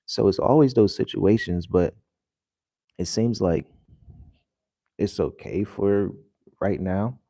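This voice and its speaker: Deep-voiced man rambling just after waking. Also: slow pace, vocal fry, pauses often, dark tone, no room sound, studio quality. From United States. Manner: rambling, loose and conversational with many fillers. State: just woke up, voice deeper than usual. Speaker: vlogger. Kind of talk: casual vlog talk to viewers. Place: home in the early morning.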